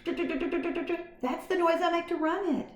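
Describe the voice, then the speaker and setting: Brief: woman reading a squeaky, desperate male mouse, high-pitched, roomy echo. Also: fairly even intonation, slow pace. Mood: pleading.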